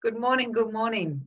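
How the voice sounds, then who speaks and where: A woman energy healer hosting a live webinar with a slightly croaky throat, chatting casually with lots of ums and greeting viewers by name. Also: on the high side. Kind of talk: casual live-stream talk. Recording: distant, dull lo-fi webinar audio.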